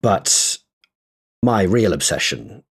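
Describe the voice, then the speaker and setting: A man's voice: sits low, very animated, slow pace, gravelly, fairly bright tone, breathy edge. A man co-hosting a podcast, talking in a casual, hesitant and thoughtful way with many fillers. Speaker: co-host.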